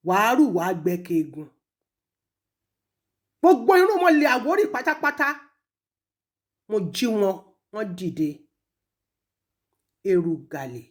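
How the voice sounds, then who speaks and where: A woman with a Nigerian Yoruba accent, speaking accusingly and emphatically in an impassioned public rebuke, with pointed pauses. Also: mid-range voice, big pitch swings. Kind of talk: impassioned public rebuke, switching between languages.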